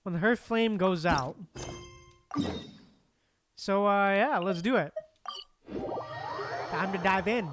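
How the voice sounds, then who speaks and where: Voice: mid-range voice, slow pace, long pauses, noisy background. A streamer giving casual, laid-back commentary while playing a video game. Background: game music.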